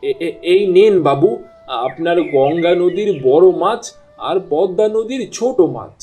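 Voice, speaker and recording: Friendly, persuasive cartoon fisherman, male actor, high mellow voice, light music behind. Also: expressive, a little slow. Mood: polite. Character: friendly, confident male fisherman, eager problem-solver. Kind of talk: cartoon dialogue.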